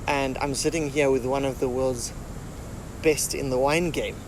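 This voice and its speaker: A man's voice: medium pitch, measured pace. A man of about forty from Zimbabwe, hosting an interview in a friendly, chatty way with many fillers. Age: 40s. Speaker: interview host. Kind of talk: casual interview chat. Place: outdoors under a large tree. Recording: outdoor recording with steady background noise.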